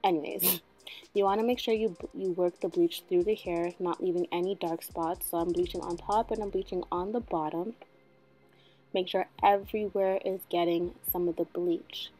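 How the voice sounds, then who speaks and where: A young woman in her twenties giving a casual hair tutorial at home, chatty and joking, with a slightly husky voice that she calls a smoker's voice. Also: on the low side, steady tone, normal speed, dark tone. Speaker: beauty vlogger. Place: bedroom at home, indoors.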